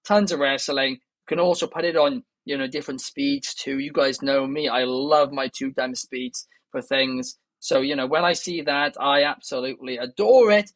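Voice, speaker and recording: A man's voice: mid-range voice, moderate pace, hardly any pauses, a bit bright, no room sound. A young Welsh man hosting a casual wrestling news podcast, chatty and enthusiastic, turning to the viewers often. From Wales. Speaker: podcast host.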